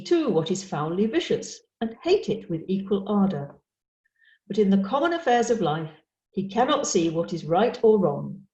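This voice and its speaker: A woman's voice: medium pitch, dark tone. A woman narrator reading scripted historical prose aloud. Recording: dull, roomy online-call audio.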